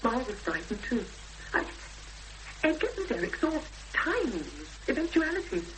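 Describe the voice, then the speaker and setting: A high, expressive voice of a frightened, weary woman in a seventies radio play. She speaks in intense, reflective fragments. The old broadcast recording carries a faint layer of noise. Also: moderate pace. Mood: troubled. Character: frightened, weary woman searching for her missing sister. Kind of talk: 1970s radio drama acting.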